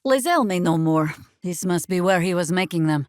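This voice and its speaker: A woman voicing a weary, sardonic veteran druid warrior in a fantasy video game, speaking in a dry, measured way. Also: fairly low pitch, lively intonation, dry recording, natural voice. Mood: wry, measured.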